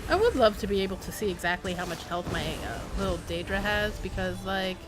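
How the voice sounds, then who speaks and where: A woman's voice: medium pitch, lively intonation, fairly quick, over music, rough recording. A woman giving casual live commentary as she streams a game, in a wry, playful, rambling way.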